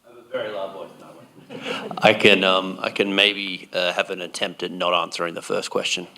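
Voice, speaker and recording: A man's voice: low-pitched, little pitch movement, moderate pace, gravelly, crowd noise. A man speaking on a conference panel, answering at length in a discursive, hedging way.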